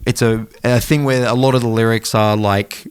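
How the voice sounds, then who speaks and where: A man's voice: mid-range voice, fairly even intonation, moderate pace, smooth. A man with an Australian accent chatting casually as a music podcast co-host, in a colloquial, sweary way. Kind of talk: casual chat.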